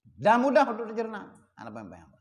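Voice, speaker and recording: A man with an Acehnese accent teaching a religious study group, slowing down to chant Arabic passages and asking listeners rhetorical questions. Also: sits high, huge pitch swings, in a large hall. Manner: mixes plain explanation with slow chanted Arabic readings; asks the audience rhetorical questions. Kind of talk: kitab study lesson. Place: prayer hall.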